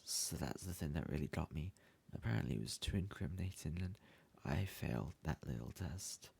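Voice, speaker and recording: Man playing a husky, bumbling lovesick yandere boy. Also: a bass voice, lively intonation, a little fast, a little mellow, full character voice. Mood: sheepish. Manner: hesitant, stammering, wheedling. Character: bumbling, pleading, lovesick young male yandere student. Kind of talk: ASMR roleplay, addressing the listener.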